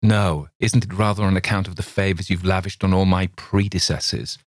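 A sceptical gentleman answers with cool, measured irony, as a man reads him. The voice is low and even, and the recording is dry and close. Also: dry recording, close to an everyday voice. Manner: cool, measured, dryly ironic.

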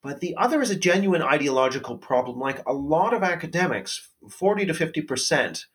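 A man hosting a conversation, laying out his arguments in an articulate way and often letting his voice trail off quietly. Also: fairly high pitch, big pitch swings, fairly quick. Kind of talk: casual conversation.

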